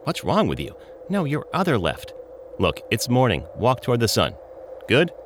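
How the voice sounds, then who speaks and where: A man's voice: medium pitch, wildly swooping pitch, moderate pace, noisy background. A man voicing a sarcastic, teasing talking coyote in a comedic audio drama, with slangy delivery. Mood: teasing, instructive. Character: wisecracking, smart-aleck male talking coyote.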